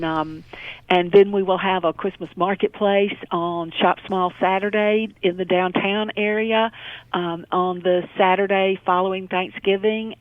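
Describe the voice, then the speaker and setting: A woman giving information as a guest in a radio interview, pausing often mid-sentence in a hesitant way. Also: mid-range voice, dry recording. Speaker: radio interview guest, local development organisation president. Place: remote call into a radio show. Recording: phone-like narrow band, dry.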